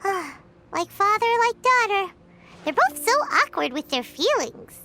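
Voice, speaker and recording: A tiny, chatty creature with a very high, childlike voice in a game. She talks slowly and lingers on her words, and her tone leaps up and down. Clear background music plays behind her. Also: very animated, pushed and bright, slight background noise, full character voice. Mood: musing. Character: small floating girlish companion creature, chatty and curious.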